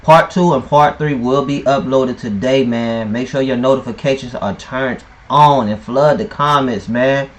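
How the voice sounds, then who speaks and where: Guy hosting his channel, pumped up outro, loud and hype. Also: medium pitch, measured pace, hardly any pauses. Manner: loud, hyped sign-off. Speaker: channel host. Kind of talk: video outro.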